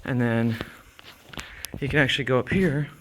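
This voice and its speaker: A man's voice: medium pitch, moderate pace, fairly bright tone, a little hiss or hum, low-quality recording. Rambling young man, casual and profane. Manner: loose and rambling, many "like" fillers, swears casually. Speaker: vlogger touring a site. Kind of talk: casual walk-and-talk vlog. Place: outdoors at an abandoned film set, then inside an empty wooden building. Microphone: handheld camera.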